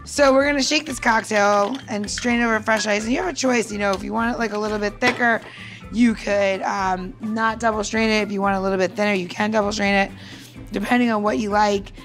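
A woman's voice: medium pitch, fairly even intonation, fairly quick, music in the background. A woman chatting informally and self-deprecatingly as she demonstrates a cocktail recipe as a podcast host.

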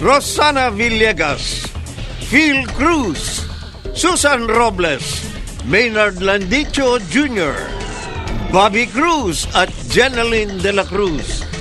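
Male radio announcer. High-pitched, unhurried, formal credit read with music clearly underneath. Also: very animated. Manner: formal, measured announcer delivery.

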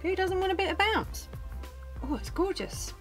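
Croaky chatty woman vlogger. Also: very high voice, lively intonation, moderate pace, fairly bright tone, soft background music. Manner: chatty, gushing, self-deprecating. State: croaky and a bit out of breath. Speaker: beauty vlogger. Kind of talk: casual product review to camera. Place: small home room indoors.

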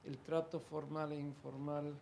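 A man's voice: on the high side, flat delivery, a little slow, gravelly, a little mellow, slight background noise. A man from interviewing a guest, hesitant with many fillers and tag questions. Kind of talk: conversational interview.

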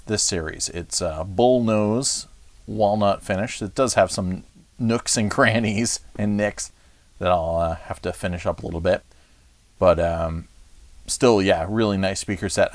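Low-voiced man, casual video host. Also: no room sound. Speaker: vlog host. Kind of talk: casual unscripted gear showcase. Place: furnished music room at home, indoors.

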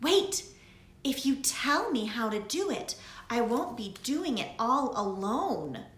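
A woman voicing a young girl witch, eager and excitable, in a storybook reading. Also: slow. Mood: insistent. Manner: high, excited, breathless pleading. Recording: echoey room with soft music.

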